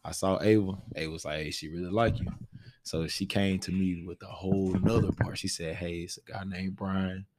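A deep-voiced American man, a stage actor, talks casually about his experiences. He speaks in slangy, conversational style, often checking that the listener follows. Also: fairly even intonation, normal speed, darkish tone, slight background noise. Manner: slangy, often says "you know what I'm saying".

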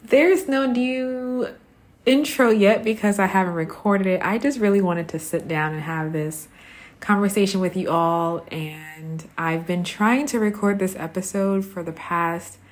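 A woman hosting a podcast, talking in a conversational, personal way, reflective and pausing between short phrases. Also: lowish voice. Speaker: podcast host.

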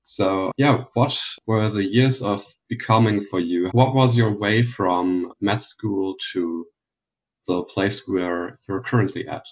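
A man co-hosting a podcast asks his guest about his background. His voice sits fairly low and he phrases things loosely. The audio is dull and lo-fi. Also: slow. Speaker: co-host, researcher. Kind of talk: interview questions. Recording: muffled lo-fi audio.

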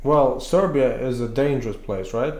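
A man's voice: mid-range voice, moderate pace, darkish tone. A man hosting a casual chat, asking probing and teasing questions. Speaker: host. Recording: echoey room.

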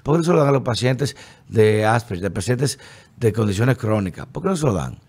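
A man, co-hosting a podcast in heated casual political chat, animated and mocking as he acts out hypothetical people. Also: medium pitch, brisk, slightly rough. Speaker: podcast co-host.

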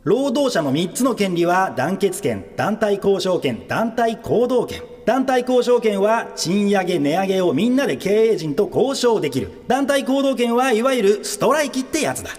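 A man's voice: sits high, sing-song, moderate pace, few pauses, darkish tone. A man playing the wry, explanatory narrator of a comic office radio drama, with theatrical delivery. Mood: emphatic. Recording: long reverb, music under voice.

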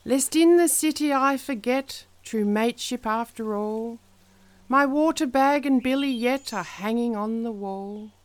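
A woman with a fairly high voice reads verse very slowly. Her delivery is measured and dramatic, each phrase placed deliberately, with endings that drop away. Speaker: poetry reader. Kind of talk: slow, measured dramatic poetry recitation.

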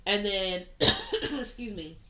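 A woman's voice: medium pitch, lively intonation, takes it slowly, sharp tone, poor audio. A young woman podcast co-host chatting casually and swearing freely, with lots of "um" and "like", while getting over a cold. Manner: chatty, profane, many fillers like "um" and "like". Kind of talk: casual chat.

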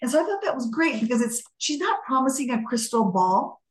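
A woman co-hosting a podcast in casual conversation, telling things in an animated way and acting out quoted remarks. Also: sits high, brisk. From Colombian American, United States. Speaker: podcast co-host. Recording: echoey room.

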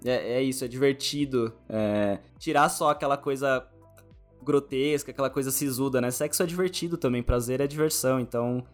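A man's voice: mid-range voice, steady tone, a little fast, faint music behind. A man with a Brazilian accent hosting a casual podcast interview in an informal, slangy, rambling way. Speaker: podcast host.

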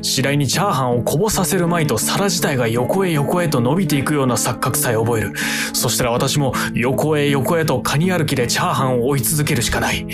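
A man's voice: medium pitch, lightly acted. A man playing an earnest, overthinking protagonist, narrating in formal literary phrasing for a radio drama. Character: earnest, brooding man who overthinks everyday meals. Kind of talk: scripted first-person radio drama narration.

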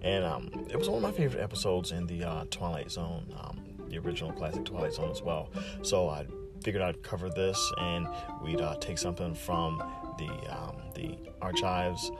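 Deep, creaky-voiced man hosting casually. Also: lively intonation, loud music behind the voice. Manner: halting, hesitant. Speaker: podcast host. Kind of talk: casual unscripted introduction.

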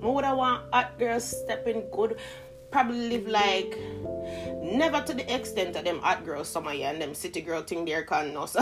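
A woman in her thirties with a Jamaican Patois-inflected Toronto accent, talking straight to camera in a casual motivational monologue, laughing often and switching between slang and standard English. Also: a little high. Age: 30s. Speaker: vlogger.

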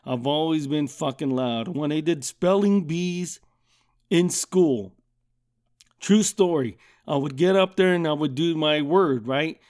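Loud profane man telling a story. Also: a little high, unhurried. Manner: profane, loud and animated, laughs often. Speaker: podcast host. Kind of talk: casual comedic storytelling.

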